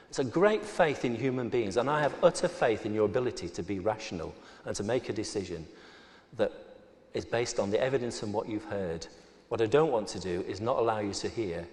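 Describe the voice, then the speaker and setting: A man argues his side of a formal debate with firm, emphatic conviction. His voice sits at an ordinary male pitch. It rings out with a long reverb through a large auditorium. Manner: forceful, argumentative, emphatic. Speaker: debate panelist. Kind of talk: formal debate speech and argument.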